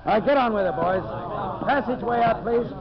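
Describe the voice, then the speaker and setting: An actor playing a brash, domineering timber boss in a 1950s radio drama, speaking in a commanding, sardonic way. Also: male voice, high-pitched, expressive, a little fast, crowd noise. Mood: breezy, smug. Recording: muffled 1950s radio broadcast, audience sounds.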